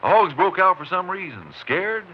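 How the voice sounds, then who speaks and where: An American man playing a steady, friendly Texas Ranger in a 1950s western radio drama, speaking in folksy, clipped phrases. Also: high voice, moderate pace. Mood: probing. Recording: muffled, noisy 1950s radio recording.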